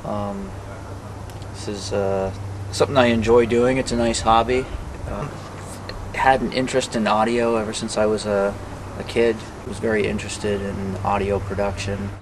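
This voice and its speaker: A man with a fairly low voice speaks plainly and haltingly, as in an unscripted interview. There is clear ambient noise behind him. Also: steady tone. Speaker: audio production crew member. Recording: noisy room or street ambience.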